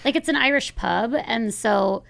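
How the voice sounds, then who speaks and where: A woman's voice: on the high side, a little slow, creaky, fairly bright tone. A woman in her thirties, a podcast host talking in a casual, chatty way full of fillers. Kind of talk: casual chat.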